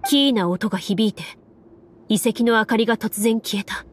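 A woman's voice: a little high, lightly acted. Actress as a solemn, composed woman game master. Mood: solemn, measured. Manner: measured, formal, dramatic storytelling. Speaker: game master narrating a tabletop adventure. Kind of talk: Japanese anime game narration. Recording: game music behind.

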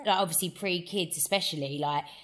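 A woman's voice: fairly low pitch, fast talker, a crowd in the background. Chatty woman in her thirties. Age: 30s. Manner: chatty, animated storytelling with many "like"s and "honestly"s. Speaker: podcast co-host. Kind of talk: casual chat. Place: home, on a video call.

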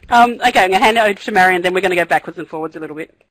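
A woman opening a webinar talk, brisk and chatty with fillers. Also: lowish voice, a bit bright, dry recording. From Australia. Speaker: conference presenter. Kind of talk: webinar introduction. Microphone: computer mic in a web meeting.

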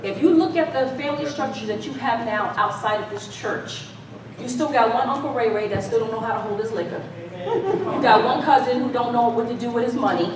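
High-voiced American woman preacher, very echoey. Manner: folksy, emphatic, addressing the congregation directly. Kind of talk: animated conversational sermon with call-and-response. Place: church stage, indoors, before a congregation. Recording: echoey hall, distant mic, audience murmur.

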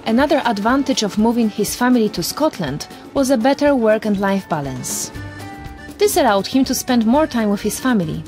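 A woman from Poland with a Polish accent, presenting a television documentary in measured, reflective narration. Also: on the high side, lively intonation, over music.

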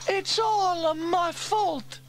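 A man voicing an excitable, warm-hearted Italian woman who runs a cafe in a children's story, with melodramatic exclamations and an Italian accent. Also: very high voice, slow, pitched above the performer's own voice, full character voice. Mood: wailing, despairing. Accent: put-on Italian.

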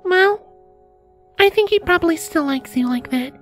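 Very high-pitched little filly. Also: female voice, animated, normal speed, faint music behind. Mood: sleepy, gentle. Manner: childlike, blunt questioning. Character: curious, innocent young filly pony. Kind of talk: fan fiction audio reading.

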